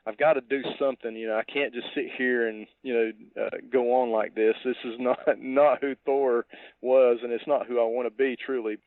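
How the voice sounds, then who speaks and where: A man co-hosting a podcast over a tinny line, casually discussing a film in a halting, thinking-aloud way. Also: medium pitch, steady tone, dry recording. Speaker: podcast co-host. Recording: tinny, dry phone-like line.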